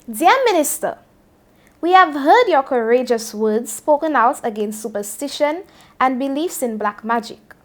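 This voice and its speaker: Teenage female student reader, Saint Lucian accent, highish voice, deliberate and expressive storytelling read.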